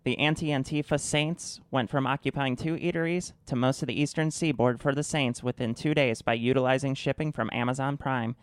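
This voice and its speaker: A man's voice: medium pitch, clear, resonant tone, dry recording, natural voice. A man playing a grave documentary war narrator in a satirical radio drama, portentous and dramatic scripted narration.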